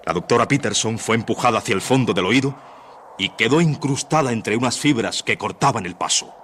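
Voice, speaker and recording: A man's voice: mid-range voice, expressive, a little fast, a bit bright, a little hiss or hum. A man narrating a suspense radio play in a dramatic, scripted style.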